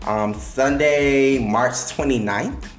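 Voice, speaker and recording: A man's voice: medium pitch, slow pace, roomy sound. A young man from Miami hosting a casual podcast, chatty and gossipy, swearing freely. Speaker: podcast host.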